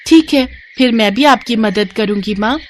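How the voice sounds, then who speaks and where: A woman voicing a caring little girl, high voice. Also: normal speed, slight background noise, lightly acted. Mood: worried.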